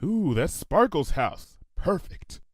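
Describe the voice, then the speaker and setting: A scheming unicorn mare from a storybook, acted out by a man, pitched well up and swooping freely. She is theatrical and sure of herself, declaiming her musings in a very dry, close recording. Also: very animated, slow pace, no echo, exaggerated voice. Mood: smug, eager. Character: female unicorn pony, scheming, theatrical, self-assured conspiracy zealot. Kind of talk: fan fiction storybook character voice.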